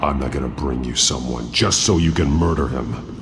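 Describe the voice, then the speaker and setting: An actor playing a grim masked vigilante in a video game, speaking in a low growl with terse, solemn authority. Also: male voice, moderate pace. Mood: firm. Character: grim masked male vigilante, stern and resolute. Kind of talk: video game cutscene acting. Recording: roomy echoing hall, music behind.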